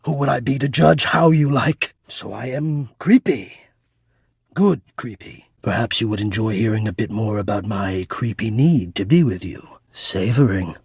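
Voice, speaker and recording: Breathy, lovesick male machine. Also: on the low side, a little mellow, dry recording, clean studio sound, full character voice. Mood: tender, wistful longing. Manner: halting, intimate, breathy, earnestly formal. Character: shy, devoted, lovesick male home heating-and-cooling system. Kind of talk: dramatic romantic video game voice acting.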